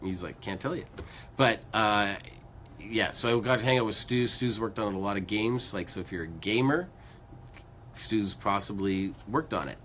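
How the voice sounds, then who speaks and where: A man co-hosting a music show in casual, rambling chat, a bit hoarse from losing his voice. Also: on the low side, normal speed, fairly bright tone, a little hiss or hum. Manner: rambling, upbeat, lots of fillers. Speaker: co-host of a music news show.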